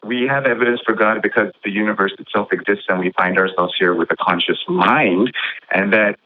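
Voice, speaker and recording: A man calling in to a show, debating the host in a halting, repetitive, persistent way. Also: lowish voice. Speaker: caller to a call-in show. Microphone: phone call. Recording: tinny, narrow phone line.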